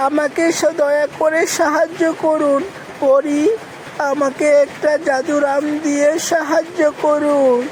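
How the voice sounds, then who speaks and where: A woman's voice: sits high, background noise. A woman voicing a brave, earnest little boy in a children's cartoon, pleading, with music behind.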